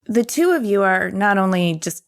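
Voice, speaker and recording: A woman's voice: medium pitch, lively intonation, moderate pace, creaky. A woman hosting a conversational podcast interview, asking questions with enthusiasm and curiosity. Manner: enthusiastic, inquisitive.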